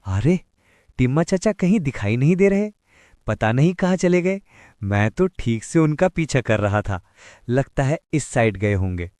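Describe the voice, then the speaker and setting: A man voicing a clever, kind young village boy in a cartoon moral story, speaking in an earnest, gently admonishing way. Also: mid-range voice, animated, unhurried, no room sound, pitched above the performer's own voice, close to an everyday voice. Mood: puzzled.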